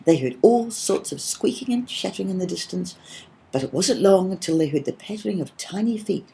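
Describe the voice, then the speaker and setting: A woman narrating a children's story from a script, with expressive storytelling and gently acted dialogue. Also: medium pitch, fairly quick, vocal fry, husky, rough recording. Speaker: audiobook reader.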